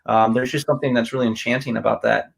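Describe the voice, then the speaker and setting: A young man with a medium-pitched voice hosts a relaxed conversation. He talks casually as an interviewer, in an everyday conversational style. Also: quick pace, creaky. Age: young adult. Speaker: interviewer, medical school applicant.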